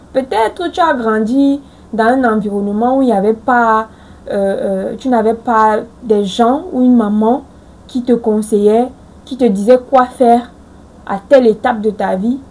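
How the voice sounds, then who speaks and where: A woman's voice: fairly high pitch, measured pace, darkish tone. A woman in her forties giving earnest, reassuring life advice straight to her viewers. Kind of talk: motivational talk to camera.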